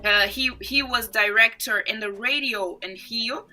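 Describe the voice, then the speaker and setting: A young woman from Brazil with a strong Brazilian Portuguese accent talks in English, animated and quick to laugh as she hunts for the right words. Her voice is very bright and sharp, and sits a little high. Faint music plays underneath the podcast chat. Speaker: podcast guest. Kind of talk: casual interview chat.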